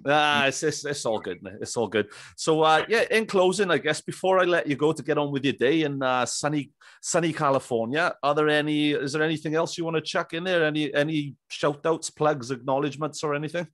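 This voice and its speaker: A man's voice: a little high, brisk, a bit bright, dry recording, clean studio sound. A British man in his thirties hosting a podcast in an informal, matey, chatty way. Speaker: podcast host. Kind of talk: casual interview chat. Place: small indoor room, on a video call. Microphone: studio microphone on an arm, close.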